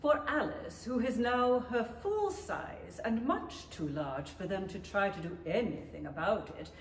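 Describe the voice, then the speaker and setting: A woman playing the storybook narrator of a 1930s-style radio play, measured and expressive. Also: mid-range voice, natural voice. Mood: dry, measured. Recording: roomy, distant stage pickup, faint noise.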